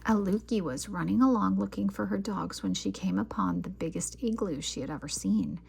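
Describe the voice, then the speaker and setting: A woman reading a children's picture book aloud as an expressive storyteller. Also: medium pitch, moderate pace, vocal fry, husky.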